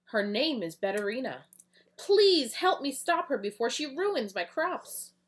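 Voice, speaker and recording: A woman's voice: high-pitched, big pitch swings, normal speed, a bit bright, voice pushed up. A woman voicing a kind, fussing farm lady in a children's story, flustered and motherly. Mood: panicked. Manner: flustered, pleading, then motherly.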